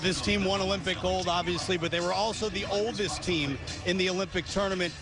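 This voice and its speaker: A man's voice: high-pitched, steady tone, fairly quick. A man hosting a sports broadcast with a polished, projected announcer delivery. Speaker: sports host. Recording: music behind, outdoor broadcast. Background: music.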